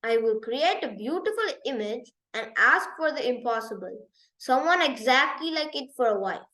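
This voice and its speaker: A woman's voice: high voice, expressive, moderate pace, sharp tone, pitched below the performer's own voice. A woman playing a self-conscious, brooding young prince in a storybook, musing earnestly. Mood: resolved. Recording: roomy, echoey sound.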